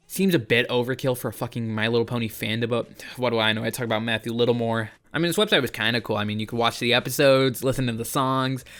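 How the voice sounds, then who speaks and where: A man's voice: medium pitch, steady tone, fast talker, hardly any pauses, a bit bright. Sardonic man doing casual video commentary. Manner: sardonic, joking, frequent swearing. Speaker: video essay host.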